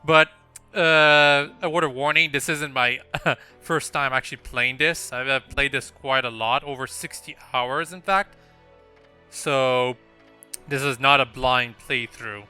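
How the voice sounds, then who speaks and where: A man's voice: medium pitch, steady tone, a little slow, bright, forceful tone, smooth, faint music behind. A man hosting a gameplay video with casual, unscripted commentary in a rambling, explanatory way. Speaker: gaming channel host.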